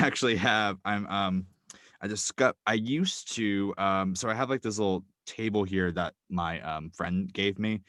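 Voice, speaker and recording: Low-voiced man rambling from a closet. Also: a bit bright, dry recording. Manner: rambling, lots of ums and likes. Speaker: podcast guest. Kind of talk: casual chat. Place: small cramped closet. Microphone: microphone wrapped in a blanket. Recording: dry, deadened closet sound.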